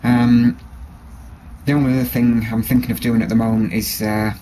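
A man casually showing a small knife in his workshop, relaxed and hesitant, with many ums and restarts, recorded on the camera's mic. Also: medium pitch, normal speed, a little hiss or hum, poor audio. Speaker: bushcraft hobbyist showing a knife. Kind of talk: casual show-and-tell. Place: workshop with a grinder and workbench.

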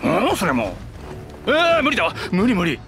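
Breezy, swooping warrior, highish. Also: male voice, huge pitch swings, moderate pace, gravelly, a bit bright, soft background music, full character voice. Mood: easygoing, playful. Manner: breezy, colloquial, soothing banter. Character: easygoing, jovial, rough-talking male warrior. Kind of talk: Japanese video game cutscene acting.

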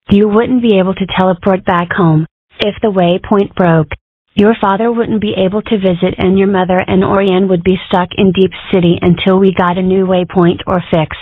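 A woman's voice: mid-range voice, dry recording, natural voice. Woman playing dry, weary rabbit fixer, muffled audio. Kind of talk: comic dub character acting.

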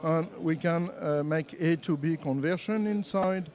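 A middle-aged Frenchman explains equipment at a trade show booth, speaking into a handheld microphone. His voice sits a little high, and his English is halting and full of "uh" fillers as he searches for words. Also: a little mellow. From France. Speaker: company representative demoing products. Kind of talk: trade show product demo. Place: trade show booth, indoors, busy hall.

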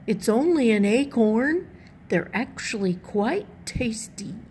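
A woman voicing a big, gentle baby chick in a storybook, with a mild, unhurried manner. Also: on the high side, lively intonation, slight background noise. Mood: reassuring.

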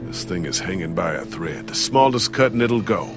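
Low-voiced zombie game survivor guy, bossy and terse, swings up and down a lot, music behind. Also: big pitch swings, moderate pace. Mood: focused. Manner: terse, commanding. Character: gruff, resourceful adult man surviving a zombie apocalypse. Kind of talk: video game voice acting.

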